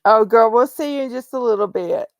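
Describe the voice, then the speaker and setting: A woman's voice: a little high, brisk, dry recording. A woman hosting a casual livestream, chatty and often restarting her phrases. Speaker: livestream host.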